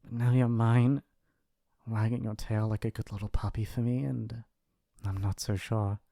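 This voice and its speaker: A man's voice: on the low side, flat delivery, normal speed, vocal fry, mellow, dry recording, studio quality. A man playing a possessive, lovestruck and shy mafia boss's son in an intimate, earnest romantic ASMR roleplay. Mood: possessive, tender.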